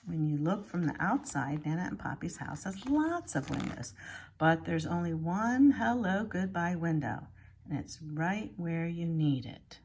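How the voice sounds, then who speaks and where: A woman's voice: on the low side, animated, a little slow, a little mellow, breathy edge. A woman reading a children's picture book aloud like a schoolteacher, expressively and with exaggerated emphasis.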